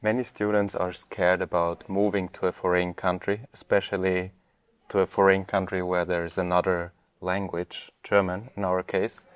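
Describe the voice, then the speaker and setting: A man's voice: deep voice, little pitch movement, moderate pace, smooth, no echo. A young man from Austria, a university student, hosting an interview and asking polite, slightly formal prepared questions. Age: young adult. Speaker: student host.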